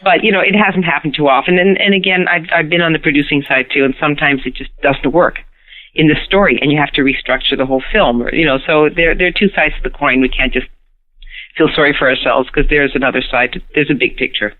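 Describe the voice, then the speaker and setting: A middle-aged woman from Canada, whose first language is Hungarian, chatting as a guest actress in an interview. She talks in a lively way, laughs often, and repeats words as she starts her sentences. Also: low-pitched, fast. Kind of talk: casual interview chat. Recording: tinny phone line.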